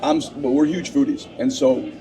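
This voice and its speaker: A man in his fifties, a guest coach in a casual interview at a deli table, chatty and quick to repeat himself as he tells stories. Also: medium pitch, steady tone, mellow, poor audio. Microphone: table mic. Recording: diner chatter in the background. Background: busy deli chatter.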